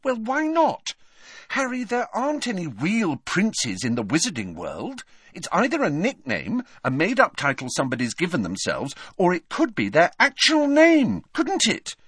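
A man's voice: high-pitched, huge pitch swings, normal speed, fairly bright tone, slightly rough, no room sound, studio quality, voice pushed up, full character voice. A man voicing a bossy, earnest teenage girl in an audiobook, insistent and emphatic in how she argues. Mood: argumentative.

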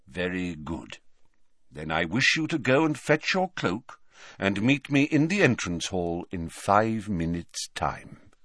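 A man voicing a grave, courteous old wizard headmaster in an audiobook, measured, formal and authoritative. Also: low voice, very animated, a bit bright, no room sound, clean studio sound, exaggerated voice. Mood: grave, commanding. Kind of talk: dramatised audiobook reading.

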